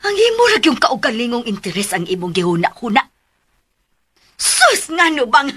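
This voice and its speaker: A woman's voice: a little high, sing-song, moderate pace, a bit bright, breathy edge, heavily acted. A woman playing an exasperated middle-aged mother who scolds and nags her grown sons emphatically in a radio drama. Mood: angry. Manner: emphatic, nagging, sighing interjections.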